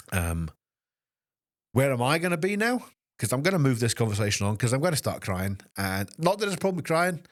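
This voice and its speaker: Scottish man, fifties, low voice, halting emotional podcast talk, dry studio sound. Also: dry recording. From Scotland. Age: 50s. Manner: emotional, halting, with many restarts and fillers. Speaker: fitness trainer and podcast host.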